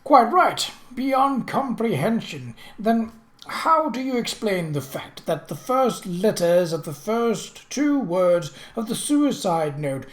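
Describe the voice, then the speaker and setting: A narrator voicing an imperious, mocking British prince in an audiobook, pompous and theatrical, with deliberate, drawn-out emphasis. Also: mid-range voice, very animated, echoey room, voice pushed up. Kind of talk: audiobook character voice.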